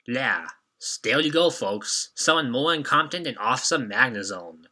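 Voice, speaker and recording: A man's voice: mid-range voice, animated, moderate pace, sharp tone. A young man hosting a scripted retrospective, giving commentary as a video reviewer.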